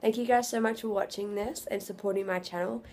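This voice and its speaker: A young woman vlogging from her bedroom, hoarse and losing her voice from a cold, chatting casually into a phone camera. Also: medium pitch, fairly even intonation, brisk, a little mellow, a little hiss or hum. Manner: chatty, with fillers. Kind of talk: casual vlog update. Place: small bedroom at home. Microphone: phone camera at arm's length.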